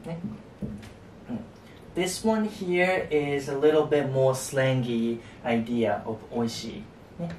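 A young man with a Japanese accent, teaching a relaxed whiteboard lesson and tagging his sentences with a soft "ne" and "you know". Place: small indoor room at a whiteboard. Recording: echoey room, distant mic, faint rumble.

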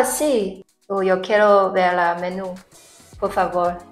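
A young woman hosting an upbeat explainer video, talking to camera. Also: mid-range voice. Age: young adult. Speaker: tech tips video host. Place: living room, indoors.

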